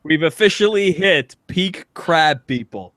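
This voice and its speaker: A man's voice: fairly high pitch, animated, unhurried, fairly bright tone, no room sound, studio quality. A man chatting and bantering casually as a podcast co-host.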